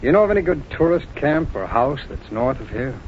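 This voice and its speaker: An actor playing a brisk, decisive federal agent in a 1940s radio crime drama, with clipped, matter-of-fact delivery. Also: male voice, mid-range voice, big pitch swings, natural voice. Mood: casual inquiry. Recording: dull, noisy 1940s radio recording.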